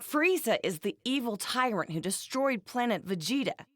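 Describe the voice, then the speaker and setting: High-pitched, dramatic doting anime mom. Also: female voice, very animated, moderate pace, fairly bright tone, dry recording, studio quality. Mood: matter-of-fact. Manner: dramatic, scolding, exclamatory. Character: fussy, blunt, doting anime mother and housewife. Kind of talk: English anime game voice acting.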